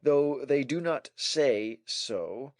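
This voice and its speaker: Man, audiobook narrator, medium pitch, formal scholarly reading, measured delivery, dry sound without room echo. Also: animated. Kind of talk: scripted narration of a scholarly essay.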